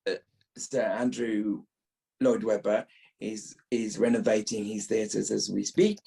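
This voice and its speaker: A man's voice: medium pitch, creaky, warm tone. A middle-aged or older British man, an actor being interviewed, talking reflectively and repeating phrases as he thinks.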